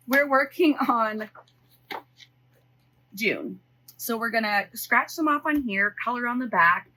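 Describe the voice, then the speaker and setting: A woman's voice: medium pitch, sharp tone, low-quality recording. A middle-aged American woman chatting casually and unscripted to viewers as a budgeting vlogger, thinking aloud in her home office. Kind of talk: casual chat to viewers while counting cash.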